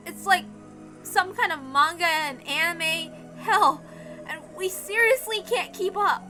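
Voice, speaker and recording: A woman's voice: very high voice, measured pace, sharp tone, pitched above the performer's own voice, heavily acted. A woman voicing a wounded, tough teenage girl in a visual novel reading, slangy, bitter and emphatic. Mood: urgent, bitter. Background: music.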